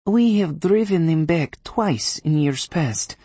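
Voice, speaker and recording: Male narrator putting on a haughty Polish witch woman, dignified and sarcastic, higher lively voice, husky edge. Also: takes it slowly, no room sound, heavily acted. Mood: worried. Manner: dignified, sarcastic, coolly diplomatic.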